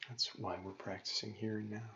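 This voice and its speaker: A man leading a guided meditation as a yoga teacher, calm and gentle, giving measured instructions with pauses. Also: on the low side. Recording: dull lo-fi recording with faint noise.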